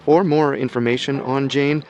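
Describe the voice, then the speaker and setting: A man hosts a podcast with measured, announcer-like scripted narration. His voice sits in an ordinary middle register, with faint music playing underneath. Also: mid-range voice, steady tone, darkish tone, muffled recording. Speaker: podcast host.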